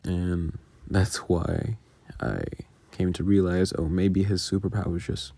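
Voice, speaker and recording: A man giving an informal, halting, self-deprecating behind-the-scenes commentary as an audio creator, talking casually close to the microphone. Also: low voice, flat delivery, creaky, dark tone, no room sound.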